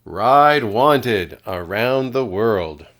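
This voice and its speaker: A man's voice: fairly low pitch, lively intonation, drawn-out words, fairly bright tone, pitched above the performer's own voice, exaggerated voice. A man voicing a tiny female sea snail, dreamy and eager, for a storybook. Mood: excited.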